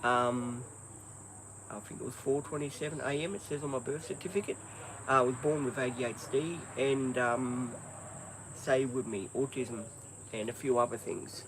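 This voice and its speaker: A man's voice: mid-range voice, steady tone, normal speed, background noise. Rambling Australian man, crickets behind. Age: 50s. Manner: rambling, hesitant, many ums. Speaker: livestreamer. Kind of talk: casual live-stream chat.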